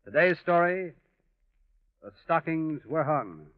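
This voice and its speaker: A man's voice: fairly high pitch, lively intonation, takes it slowly. A man announcing and narrating a vintage radio drama from a script. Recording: tinny old radio recording with music.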